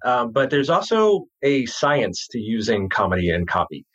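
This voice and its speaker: Jersey-accented male guest, husky, casual and chatty, slightly muffled lo-fi audio. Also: mid-range voice, moderate pace. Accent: New Jersey. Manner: relaxed, chatty, drops his g's. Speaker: copywriter, guest. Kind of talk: casual interview talk.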